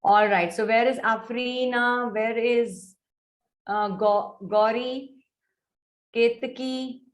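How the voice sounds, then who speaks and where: A middle-aged woman with an Indian English accent gives an online soft skills lecture to students. She coaxes them and keeps checking whether they are following. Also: a little high, steady tone, slow. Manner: coaxing, mixes Hindi into English, checks on students often. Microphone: video call.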